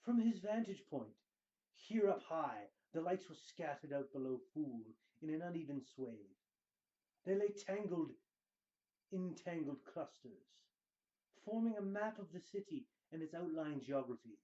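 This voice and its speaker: A man performs a grandiose dramatic reading in a slightly high, mellow voice. He takes his time, stretching phrases out with hammy pauses. The recording sounds a little dull and lo-fi. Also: expressive. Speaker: show host giving dramatic readings.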